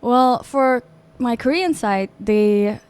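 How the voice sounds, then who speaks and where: A woman in her twenties from Russia, a podcast guest who talks softly and a little nervously, with some hesitation. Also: fairly high pitch, slow pace, smooth. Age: 20s. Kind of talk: interview conversation.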